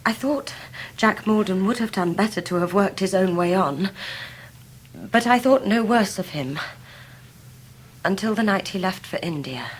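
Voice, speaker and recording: A devoted young Victorian wife played by an actress in a period radio drama. Her mid-pitched voice is fervent, measured and dignified, on a slightly noisy recording. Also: expressive, close to an everyday voice. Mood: fervent, sincere.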